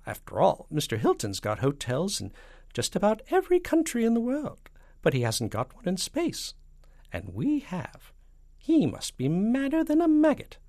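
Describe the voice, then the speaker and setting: A blustering, childishly hot-tempered president from a storybook, as acted by a man. His pitch jumps high and swoops about as he snaps and exclaims, with a gravelly creak in a dry, close recording. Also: wildly swooping pitch, normal speed, no room sound, heavily acted. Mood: indignant, impatient. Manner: snapping, exclaiming, loud and emphatic.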